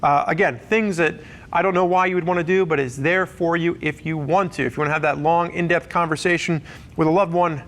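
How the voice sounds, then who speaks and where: A man's voice: fairly high pitch, fairly even intonation, brisk, a bit bright. A man presenting a gear review in a conversational, explanatory way, talking through features as a semi-scripted walkthrough.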